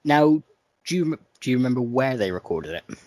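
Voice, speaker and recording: A man's voice: mid-range voice, very animated, brisk, a little mellow, no echo. A man co-hosting a casual music podcast, talking in a wry, joking way. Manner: wry, joking, mimics people in quotes. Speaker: podcast co-host. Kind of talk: casual chat about music.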